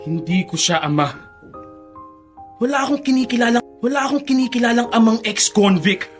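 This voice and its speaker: A woman's voice: on the high side, lively intonation, normal speed, soft background music, close to an everyday voice. A woman playing a resentful, defiant young son in a melodramatic radio drama. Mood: cold resentment.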